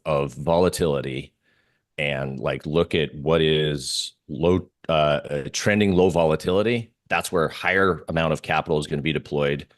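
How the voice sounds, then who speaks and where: Male analyst guest, very low voice, dry close sound, halting explanatory delivery of casual market talk. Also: dry recording.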